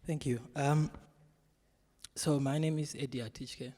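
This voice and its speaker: A man from Zimbabwe giving a panel presentation, speaking in a measured way with frequent fillers. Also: mid-range voice, fairly even intonation, dark tone. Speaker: guest speaker. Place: large exhibition hall. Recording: long hall reverb.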